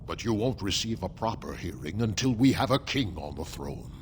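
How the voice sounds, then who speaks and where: An actor playing an exhausted, stern but courteous dwarf assembly steward in a video game. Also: male voice, deep voice, big pitch swings, normal speed, a little hiss or hum. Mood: weary, courteous. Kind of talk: video game dialogue acting.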